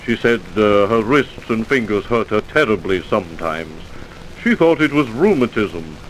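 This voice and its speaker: A man's voice: fairly low pitch, lively intonation, normal speed, lightly acted. Actor as timid, stammering male office manager. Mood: nervous, subdued. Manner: hesitant, stammering, deferential. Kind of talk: old-time radio drama acting. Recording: noisy vintage radio recording.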